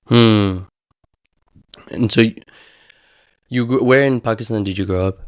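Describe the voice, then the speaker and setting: A man's voice: lowish voice, unhurried, dry recording, clean studio sound. A man hosting a conversational podcast interview, asking open-ended questions in a reflective manner. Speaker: podcast host. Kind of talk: conversational long-form interview.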